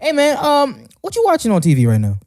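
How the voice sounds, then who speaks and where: A man's voice: very high voice, huge pitch swings, fast talker, a little mellow, no room sound, studio quality. A man co-hosting a podcast in casual chat, teasing his partner, laughing often and swearing casually. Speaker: podcast co-host.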